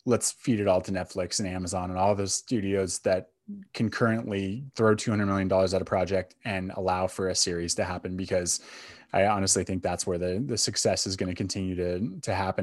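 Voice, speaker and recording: A man's voice: low-pitched, steady tone, a little fast, dry recording. A man co-hosting a podcast, casually discussing films in an opinionated, conversational way. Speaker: podcast co-host.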